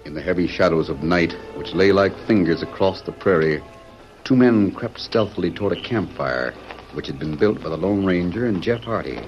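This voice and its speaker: A man's voice: low-pitched, lively intonation, moderate pace. A man narrating an old-time radio western in a dramatic, scripted style. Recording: dull old radio recording with music.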